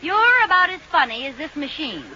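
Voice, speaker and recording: An actress playing a feisty, stubborn housewife in 1940s radio comedy. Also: female voice, high-pitched, big pitch swings, a little fast, a loud crowd. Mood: indignant, defiant. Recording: muffled old radio recording, loud noise.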